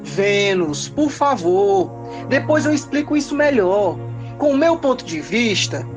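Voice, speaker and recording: A woman's voice: medium pitch, big pitch swings, measured pace. A woman playing a haughty, self-justifying male king of the gods in a pompous, declamatory comedy audio drama. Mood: flustered. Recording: music behind the voice. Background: music.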